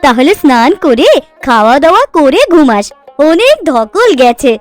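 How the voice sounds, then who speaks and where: A woman's voice: sits high, sing-song, moderate pace. A woman voicing an affectionate, fussing village woman in a fairy-tale cartoon, coaxing and doting. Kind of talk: Bengali cartoon voice acting. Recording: background music under the voice.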